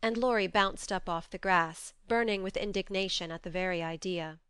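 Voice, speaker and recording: Casting: female audiobook narrator, clear and smooth, expressive storytelling, very dry sound. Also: fairly low pitch, normal speed, no echo.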